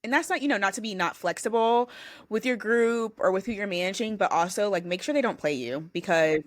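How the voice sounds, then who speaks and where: A woman's voice: mid-range voice, fairly quick, dry recording, studio quality. A young adult woman co-hosting a podcast, giving frank, assertive advice in casual chat with lots of "like" fillers. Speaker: podcast co-host.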